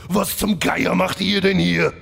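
A man's voice: high-pitched, little pitch movement, measured pace, gravelly, fairly bright tone, husky, heavily acted. A man playing a vain, menacing demon-hunter villain lord, pompous and petulant, in a fantasy parody audio drama. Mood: irritated.

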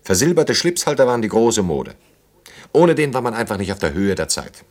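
A man's voice: fairly low pitch, very animated, a little fast, a little mellow. An actor with a German accent playing an established, nostalgic and sardonic older man in a naturalistic radio drama, speaking in a dry, mocking way.

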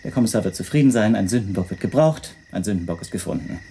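A bitter, weary man in his thirties narrating a radio drama. His voice is fairly low and soft-edged, darkly mellow. A faint noise sits behind it. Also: lively intonation, fast, creaky. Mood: bitter, angry. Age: 30s. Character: bitter, weary young stateless man recounting his arrest. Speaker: first-person narrator and lead.

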